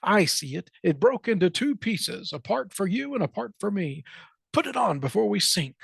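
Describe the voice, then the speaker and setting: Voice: medium pitch, big pitch swings, moderate pace, vocal fry, husky, no echo, voice pushed up, heavily acted. A storyteller voicing a naive, eager, simple-minded male turkey in a picture book, earnest and full of questions. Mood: excited.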